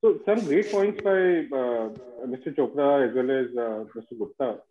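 An Indian man speaking as a panelist on a video call, talking rapidly and conversationally with fillers. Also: medium pitch. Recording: tinny phone-like video call line.

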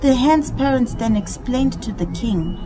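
A woman narrating a scripted folktale for children in an expressive, dramatic storytelling manner. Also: fairly high pitch, measured pace, poor audio. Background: background music.

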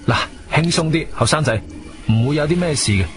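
Radio drama lead: man as firm, shrewd Cantonese investigator-narrator, slightly high voice, very lively intonation. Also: a little fast, background noise, close to an everyday voice. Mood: calm, probing. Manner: expressive, emphatic storytelling. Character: male adventurer hero, shrewd, firm, questioning investigator. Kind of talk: dramatic first-person audio drama narration. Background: background music.

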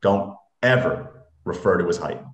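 A man's voice: deep voice, a little slow. A man reading scripted stage directions as narrator, recorded over a video call. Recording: roomy home video-call audio.

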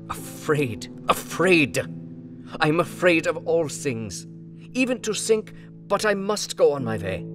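A man reading a journal in an audio drama, speaking earnest, halting, foreign-phrased English. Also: medium pitch. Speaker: narrator reading an elderly professor's journal. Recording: music bed under voice.

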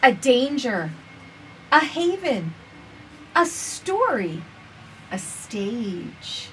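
A woman in her forties reading a picture book aloud for children with expressive, sing-song phrasing, recorded outdoors on a porch. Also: on the high side, very slow. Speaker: children's storytime reader. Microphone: camera a few feet away. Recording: distant camera mic, faint outdoor noise.

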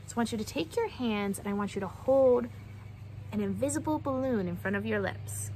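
Young woman, highish voice, expressive storytime delivery, questions to kids, swooping pitch, outdoor recording. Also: brisk, slight background noise. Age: young adult. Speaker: children's book read-aloud presenter. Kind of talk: animated read-aloud for young children. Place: outdoors on a wooden backyard deck.

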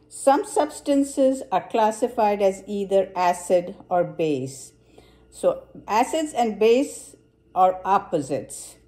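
A woman presenting a simple science demonstration for children in a kitchen, explaining step by step in a halting way. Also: medium pitch, dark tone. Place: home kitchen, indoors.

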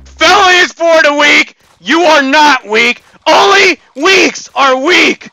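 A man voicing a hyped-up, taunting shooter-game announcer, bombastic and over-excited, in shouted voice lines. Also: extremely high pitch, takes it slowly, creaky, no echo, pitched above the performer's own voice, full character voice, poor audio. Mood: hyped triumphant.

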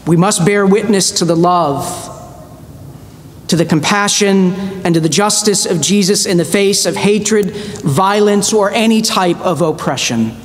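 A middle-aged man with a notably high voice delivers an earnest sermon from a church pulpit, slowing down to lay weight on his key phrases. His words carry a long echo through the sanctuary, with a faint low rumble beneath them. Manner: measured, earnest preaching with slow emphatic phrases. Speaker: priest.

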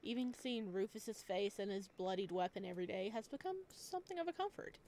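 A woman reading a visual novel aloud at home, with quick joking asides between lines of narration. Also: medium pitch, lively intonation, mellow, a little hiss or hum. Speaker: game streamer.

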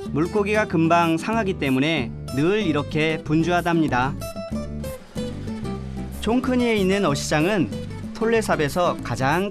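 A man giving calm, measured scripted voice-over narration for a travel documentary. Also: a little high. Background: background music.

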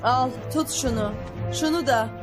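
A woman playing a confident, techy young mechanic in a cartoon dub, brisk and self-assured. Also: high-pitched, music in the background. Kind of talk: Turkish cartoon dub acting.

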